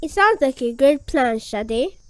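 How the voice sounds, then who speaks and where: A performer voicing an excitable, curious young child sidekick in a children's cartoon, eager and full of exclamations. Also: high voice, unhurried, no room sound.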